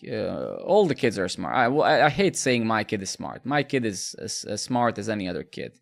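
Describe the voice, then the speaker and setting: A man's voice: fairly low pitch, sing-song, normal speed, vocal fry. A man, chatting casually with viewers during a live coding stream, rambling and repeating words. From Serbia.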